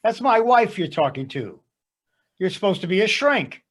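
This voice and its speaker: A high-pitched male voice of an actor plays an indignant husband. He is bossy and protective, squaring up in a blustering way. It has the feel of an amateur stage sketch. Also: big pitch swings, normal speed. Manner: blustering, confrontational. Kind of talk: readers theater sketch acting. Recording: live amateur readers theater performance.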